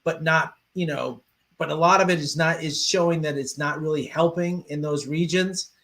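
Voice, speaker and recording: Male interviewer, slightly high voice, conversational, hesitant, feels his way through each point. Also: steady tone, normal speed, fairly bright tone.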